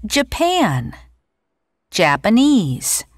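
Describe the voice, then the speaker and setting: A woman clearly pronouncing each word of a vocabulary list on a course audio track, played back during a lesson. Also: mid-range voice, wildly swooping pitch, no echo, studio quality. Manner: clear, deliberate word-by-word pronunciation. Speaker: textbook audio speaker.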